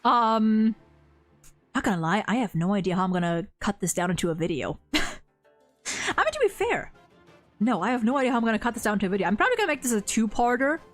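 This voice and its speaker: A woman with a fairly high voice talks casually as a livestream host. She is chatty and excitable and swears freely, with faint music playing behind her. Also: fast talker, long pauses. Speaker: streamer host.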